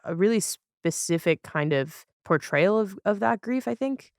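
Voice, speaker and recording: A woman's voice: mid-range voice, expressive, measured pace, no room sound, clean studio sound. A woman chatting casually as a podcast guest, thoughtful and often searching for words as she talks about television.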